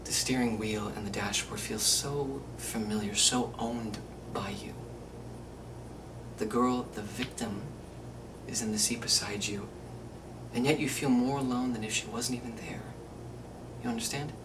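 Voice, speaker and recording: Man acting menacing, controlling killer, chillingly deliberate. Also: mid-range voice, fairly even intonation, close to an everyday voice. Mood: hushed, chilling. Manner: slow, deliberate, intimate, chilling. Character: menacing, controlling male killer, cold and volatile. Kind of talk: theatrical monologue, self-taped audition. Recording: distant mic, faint room noise.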